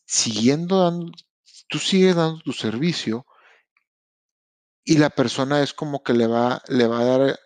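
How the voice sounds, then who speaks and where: Mexican man, podcast host, casual and blunt advice, mid pitch, dry close sound. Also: darkish tone, no room sound. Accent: Mexican Spanish. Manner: frank and slangy, addresses listeners directly, with restarts. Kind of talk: casual motivational podcast monologue. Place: lives in Canada.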